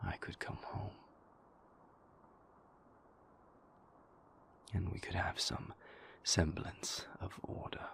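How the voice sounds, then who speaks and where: A man playing a brooding, remorseful vampire boyfriend in an intimate audio roleplay, with a breathy, gravelly near-whisper and halting, formal, confessional phrasing. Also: a bit bright, slight background noise, natural voice. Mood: rueful, regretful. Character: brooding, remorseful male vampire boyfriend, former royal enforcer.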